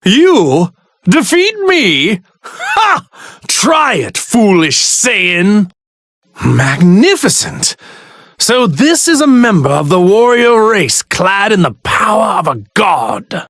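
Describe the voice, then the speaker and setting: An actor playing an arrogant god in a stolen body, pompous and sneering in formal, grandiloquent speech for an anime fighting game. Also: male voice, high voice, wildly swooping pitch, slow pace, fairly bright tone, no echo, shouting, clean studio sound, heavily acted. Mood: arrogant, taunting. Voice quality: theatrical, sneering delivery.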